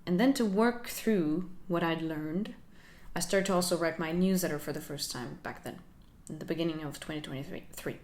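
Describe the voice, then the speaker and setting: A young woman talks through her learning journey for her channel. She is soft-spoken and reflective, with a slightly low voice, and she laughs lightly as she goes. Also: moderate pace, hardly any pauses. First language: Finnish. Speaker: channel host. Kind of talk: casual talking-head vlog.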